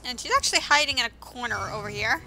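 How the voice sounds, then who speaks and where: A woman's voice: fairly high pitch, expressive, fast, rough recording. A woman giving casual video game commentary as host, with sarcastic asides, over music. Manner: sarcastic, mildly profane asides.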